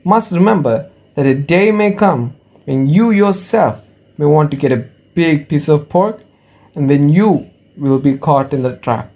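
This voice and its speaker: A man's voice: fairly high pitch, measured pace, dark tone. A man playing a poor, humble wandering rat trap seller in an amateur radio drama, speaking earnestly and politely.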